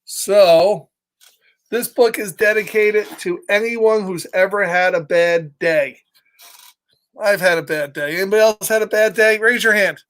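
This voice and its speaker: Middle-aged man, children's storybook host, animated and playful, chatty asides, asks the listeners questions. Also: high-pitched, a little slow. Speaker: storybook read-aloud host. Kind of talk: live children's story time, chatting with viewers between lines of the book.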